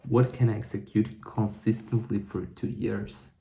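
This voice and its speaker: A young man muses slowly to camera, stopping and restarting as he works through his thoughts. His voice is mellow and gravelly, with a low pitch. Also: little pitch movement. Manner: halting, thinking aloud with many restarts. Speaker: vlogger. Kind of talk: reflective solo vlog monologue.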